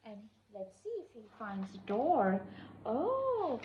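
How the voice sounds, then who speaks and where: A woman reading a storybook aloud to young children in an expressive, drawn-out way. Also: fairly high pitch, muffled recording, slight background noise. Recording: echoey room.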